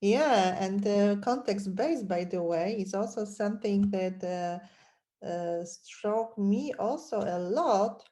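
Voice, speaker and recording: A woman hosting an interview, speaking deliberately with many hesitations. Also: mid-range voice, mellow. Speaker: podcast host. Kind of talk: interview conversation.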